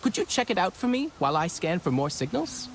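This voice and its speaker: Casting: anxious, chatty young techie for a game, pitch jumping around, slight background noise. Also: male voice, a little fast. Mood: nervous, eager. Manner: hesitant, self-correcting, animated. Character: nervous, chatty, eager young tech scavenger. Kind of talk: naturalistic game dialogue.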